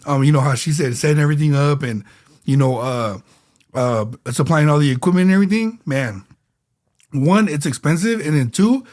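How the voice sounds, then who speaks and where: A man co-hosting a laid-back podcast with a slightly higher voice. He rambles easily, circles back to repeat himself and leans on fillers. He is a bit under the weather. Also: moderate pace, a little mellow. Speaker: podcast co-host. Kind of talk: casual podcast chat.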